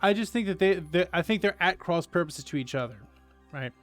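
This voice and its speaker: Streamer: man, lightly high-pitched, jokey casual commentary over soft game music. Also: lively intonation, brisk. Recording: game music faint behind voice.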